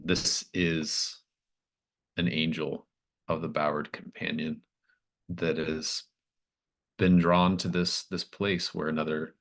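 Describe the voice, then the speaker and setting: This is a man with a very low, deep voice, acting as game master for a tabletop horror game. He speaks slowly and haltingly, thinking his way through eerie descriptions. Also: fairly bright tone.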